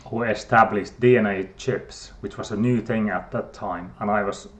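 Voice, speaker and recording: A man's voice: lowish voice, fairly even intonation, moderate pace. Hesitant Finnish-accented man. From Finland. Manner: hesitant, many fillers and restarts. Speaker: guest scientist. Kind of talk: casual interview talk.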